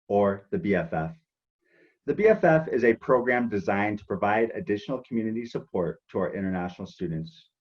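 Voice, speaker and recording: A man with a lowish voice walks through a prepared presentation at an even, measured pace. The recording sounds somewhat dull and lo-fi. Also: husky. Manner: measured, listing programs. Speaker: international outreach presenter. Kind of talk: scripted presentation.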